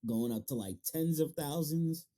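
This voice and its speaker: A man's voice: fairly high pitch, a little slow, mellow. A man hosting a casual interview, speaking in an informal, slangy way. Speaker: interviewer, show host.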